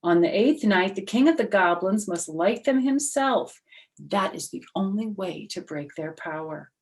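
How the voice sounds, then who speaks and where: A woman's voice: mid-range voice, lively intonation, a little slow. A woman voicing a solemn village rabbi from a storybook, giving instructions with grave emphasis. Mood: warning. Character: solemn village rabbi, cautioning male elder.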